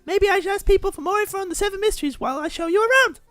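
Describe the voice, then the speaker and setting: Perky game schoolgirl, high voice, eager and pleading, very lively, dry sound. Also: racing, no room sound.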